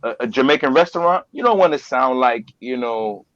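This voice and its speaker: A man's voice: mid-range voice, animated, a little fast, a bit bright, lo-fi sound. A man guesting on a podcast as a voiceover artist, talking earnestly and repeating phrases for emphasis. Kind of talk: casual interview talk.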